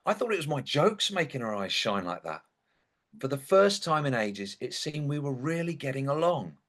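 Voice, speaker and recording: A man's voice: a little high, very animated, moderate pace, fairly bright tone. An actor playing an indignant, sardonic dead young man who narrates a comic stage monologue in a wry, theatrical way. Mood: wistful.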